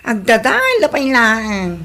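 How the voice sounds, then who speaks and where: A woman's voice: fairly high pitch, huge pitch swings, slow pace, bright, forceful tone, slight background noise, full character voice. A woman acting a gossipy villager in an Ilocano radio comedy drama. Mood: gossiping.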